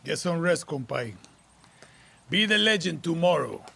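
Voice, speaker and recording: An actor playing a gruff, profane older guerrilla mentor in a video game, blunt and pushy, with a gravelly voice. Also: male voice, a little high, animated, unhurried, distant mic, exaggerated voice. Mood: gruff, fond. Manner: blunt, forceful. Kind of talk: cinematic video game acting.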